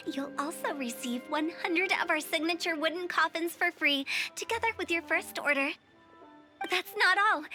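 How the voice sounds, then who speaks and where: A woman's voice: very high voice, pushed and bright. An actress playing an eccentric, pushy young woman who runs a funeral parlor, with theatrical sales patter in an anime-style game. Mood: eager sales pitch.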